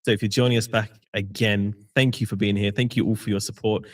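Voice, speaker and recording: A man's voice: lowish voice, flat delivery, fast. A man hosting a live game-company stream as community manager, chatty and welcoming.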